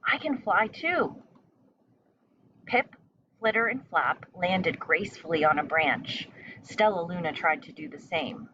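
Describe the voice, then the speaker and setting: A woman's voice: deep voice, a little slow, distant mic, noisy background. Woman reading a children's story, slightly dull sound. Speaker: storybook reader. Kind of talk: children's story read-aloud.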